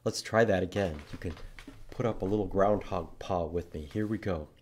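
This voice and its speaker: A man in his fifties reading to young children as an animated library storytime host. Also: deep voice, normal speed, slight background noise. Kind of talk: children's storytime read-aloud. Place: indoors in a library room.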